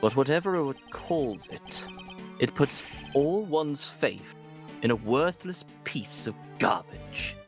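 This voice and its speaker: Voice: mid-range voice, animated, over music. Someone playing a smug gloating villain. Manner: theatrical, mocking, drawn-out delivery. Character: smug gloating male antagonist. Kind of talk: voice acting a game with friends.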